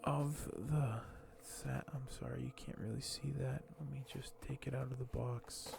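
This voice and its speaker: A soft-spoken man hosting an ASMR unboxing, talking in a hushed, halting way that often nears a whisper. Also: medium pitch, flat delivery, gravelly, noisy background. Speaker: ASMR video host.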